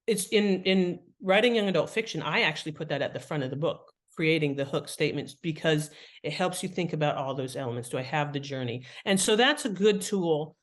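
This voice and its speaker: Animated woman explaining her craft. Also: low voice, a little fast, few pauses. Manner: animated, enthusiastic. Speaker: author and interview guest. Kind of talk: explanatory interview talk about writing craft.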